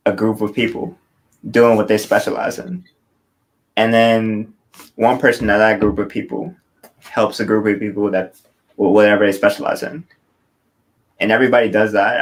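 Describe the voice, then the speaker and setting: Earnest young man with a low voice. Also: low-quality recording. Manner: earnest, rambling, filler-heavy. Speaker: interview host. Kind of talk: casual interview.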